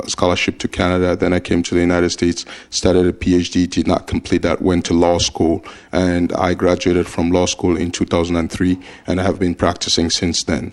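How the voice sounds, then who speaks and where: Lawyer from Cameroon, a man in his forties, deep voice, level delivery, careful, hesitant pacing. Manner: measured, steady, with frequent uh fillers. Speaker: immigration attorney. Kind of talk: interview answers.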